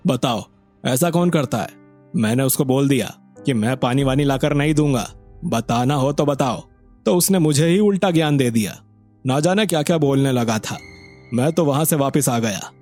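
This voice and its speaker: A selfish elder son from a village tale, voiced by a man, talks in a medium-pitched voice. He is impatient and arrogant, brusque and prone to complaint. Faint music runs underneath. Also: expressive, moderate pace, a little mellow, lightly acted. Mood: irritated. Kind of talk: acted moral story dialogue.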